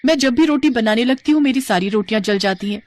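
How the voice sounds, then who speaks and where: Woman acting a gentle young daughter-in-law. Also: fairly high pitch, fast talker, dry recording, close to an everyday voice. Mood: distressed. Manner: earnest, respectful.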